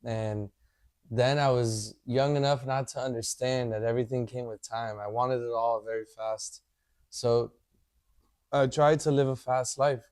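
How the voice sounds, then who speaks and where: A man in his thirties, a podcast guest, talking in a relaxed way and often adding "you know". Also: mid-range voice, a little slow, clear, resonant tone, dry recording, clean studio sound. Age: 30s. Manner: laid-back, leans on "you know" a lot. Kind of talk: casual chat.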